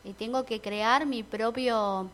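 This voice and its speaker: Chatty Argentine woman, slightly high voice. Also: steady tone, slow, a bit bright, a little hiss or hum. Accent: Argentine Spanish. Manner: chatty, reflective, many fillers and restarts. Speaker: entrepreneur guest. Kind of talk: casual radio chat.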